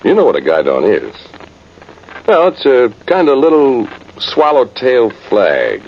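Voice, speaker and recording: A man's voice: mid-range voice, huge pitch swings, unhurried. An actor, a man, playing a folksy, rueful cavalry sergeant rambling through a radio drama monologue. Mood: wistful, homesick. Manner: folksy, ungrammatical, rambling soldier talk. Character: folksy, rueful old-time cavalry sergeant, a ghostly soldier. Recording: muffled, hissy vintage radio recording.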